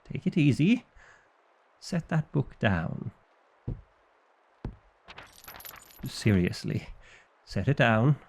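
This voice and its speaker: A man's voice: fairly low pitch, darkish tone, a little hiss or hum. A man playing a fussy, exasperated vampire librarian in an ASMR roleplay, with an indignant, officious and dryly comic delivery. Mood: stern, alarmed.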